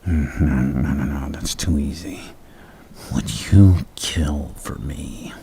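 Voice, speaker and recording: A man playing a flirtatious, menacing, unhinged villain in a gravelly half-whisper for an ASMR roleplay, slow and teasing with murmured hums. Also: extremely low pitch, dark tone, slight background noise, full character voice. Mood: obsessive, intense.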